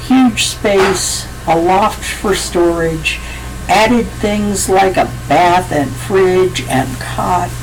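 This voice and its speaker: Woman author reading her own work, slow, lowish voice, plain and self-deprecating, noisy home recording with vehicles behind. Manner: plain, self-deprecating, stumbles over words. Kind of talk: unhurried story reading, then casual sign-off.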